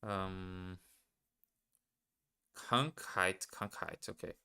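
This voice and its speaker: Slow, bright-voiced man thinking aloud. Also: low voice, fairly even intonation, clear, resonant tone. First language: Portuguese. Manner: hesitant, self-correcting, muttering to himself. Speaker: language learner streaming live. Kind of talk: thinking aloud while studying.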